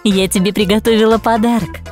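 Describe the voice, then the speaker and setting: A woman voicing a fussy, firm mother pig with an expressive, motherly manner in a children's toy cartoon. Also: medium pitch, a little fast, close to an everyday voice. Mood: gentle, firm. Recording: children's music over the voice.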